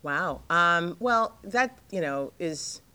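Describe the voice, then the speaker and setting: A middle-aged woman with a low voice, jet-lagged, chatting in an interview as the guest actress, rambling with lots of fillers and restarts. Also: lively intonation, slow, bright, forceful tone, clean tone. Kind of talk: casual interview chat.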